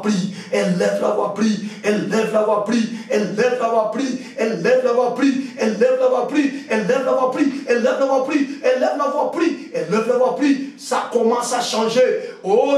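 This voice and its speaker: An impassioned male preacher with a very high-pitched voice leads prayer with commanding, repetitive urgency. He often pushes into shouting, and his voice rings with heavy echo, picked up at a distance from the microphone. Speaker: preacher leading a prayer meeting. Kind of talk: fervent exhortation and prayer, insistent repetition. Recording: very echoey hall, distant from mic.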